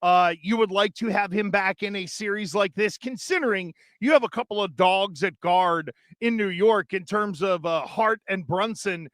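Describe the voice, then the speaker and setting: A man co-hosting a casual sports talk show, reacting with easy agreement and giving measured opinions. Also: high voice, fairly bright tone, no echo, studio quality. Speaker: co-host. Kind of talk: casual sports radio chat.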